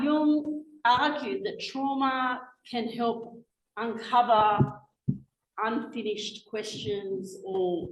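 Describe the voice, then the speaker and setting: A woman in her forties with an Australian accent, giving a chatty, lively public talk as a guest speaker, full of asides and restarts. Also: medium pitch. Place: lecture room, indoors. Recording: echoey lecture room, faint noise.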